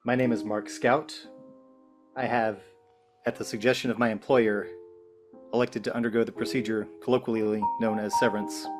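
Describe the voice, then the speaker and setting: A man's voice: on the low side, close to an everyday voice. A man playing an anxious, resigned office employee in a script table read. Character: anxious, resigned office employee signing away his memory. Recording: music playing behind the voice.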